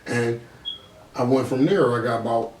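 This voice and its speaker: A man's voice: medium pitch, moderate pace, a little mellow. A man with a Philadelphia accent in casual podcast conversation, talking in slangy, profane language. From Philadelphia, United States. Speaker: podcast host. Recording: roomy echo, distant mic, faint noise.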